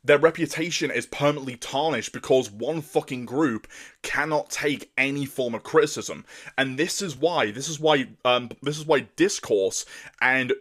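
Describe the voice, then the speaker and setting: A man with a deep voice ranting in a fierce, profane monologue. He keeps tripping over his words and restarting phrases as his anger pushes him forward. His pitch sits in a normal middle range for a man. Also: normal speed, fairly bright tone. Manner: angry and profane, with many repeated stumbles and restarts. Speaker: commentator.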